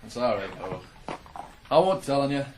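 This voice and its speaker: An actor in a 1980s radio drama playing a cheeky farm workmate, talking in a teasing, bantering way. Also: male voice, medium pitch, very animated, a little fast, darkish tone, lightly acted. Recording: faint noise on old radio broadcast.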